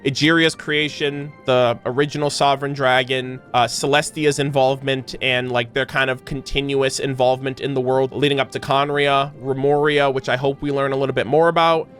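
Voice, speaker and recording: A man's voice: mid-range voice, level tone, moderate pace, hardly any pauses, a bit bright. A man giving casual, off-the-cuff commentary as a gaming content creator, rambling as he thinks aloud. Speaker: video game reaction content creator. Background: background music.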